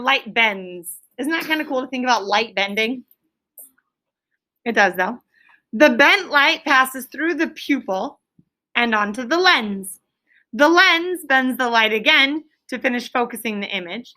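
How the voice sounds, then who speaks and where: A woman with a high-pitched, bright voice teaches an elementary class. She is instructive and interactive, putting questions to the group and repeating key answers with clear emphasis.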